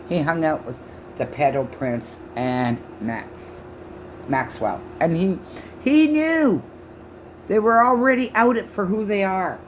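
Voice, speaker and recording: A woman in her sixties from Canada ranting loudly and profanely to camera on her own channel, her speech halting from false teeth. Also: low-pitched, a little hiss or hum. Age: 60s. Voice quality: loud voice. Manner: shouting, profane, accusatory. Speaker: vlogger. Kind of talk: angry rant to camera.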